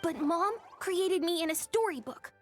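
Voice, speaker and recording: A small young dragon with a very high, soft, boyish voice. He is shy and hesitant yet earnest and determined, a gentle game character speaking over faint music. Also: moderate pace. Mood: resolute, reflective. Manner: hesitant, sincere. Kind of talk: video game voice acting.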